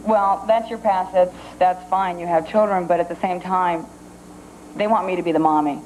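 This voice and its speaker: A woman's voice: fairly low pitch, steady tone, moderate pace, a little mellow, a little hiss or hum, low-quality recording. A woman contestant on a dating show, chatting freely and laughing often. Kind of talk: casual talk-show chat. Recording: old TV studio broadcast.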